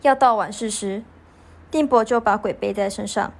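A woman's voice: mid-range voice, moderate pace, vocal fry. A teenage girl narrating a scripted story for a student radio drama.

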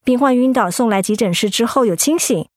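An actress playing a calm, professional hospital doctor in a radio drama, measured and reassuring. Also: female voice, fairly high pitch, no room sound, studio quality, close to an everyday voice. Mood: clinical.

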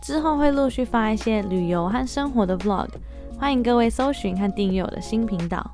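A woman's voice: on the high side, lively intonation, brisk, darkish tone, faint music behind. A young adult woman hosting a bilingual podcast interview, chatty and laughing often. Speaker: podcast host.

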